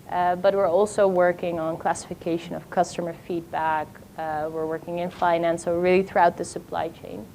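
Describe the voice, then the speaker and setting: A woman's voice: on the low side, darkish tone, a little hiss or hum, poor audio. A woman from the Netherlands speaking as a panel guest at a conference, upbeat and organized. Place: conference stage.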